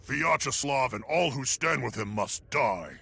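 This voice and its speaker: A man's voice: medium pitch, lively intonation, a little slow, gravelly, pushed and bright, slightly rough, exaggerated voice. An actor, a man, playing a blunt, commanding, battle-eager military officer in a video game. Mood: grim, resolute.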